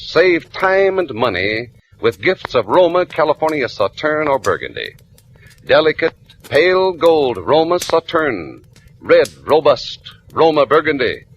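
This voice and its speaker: A man's voice: medium pitch, huge pitch swings, a little slow, muffled recording. A man announcing for a sponsor in polished, emphatic 1940s radio advertisement style. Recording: vintage radio recording, faint noise.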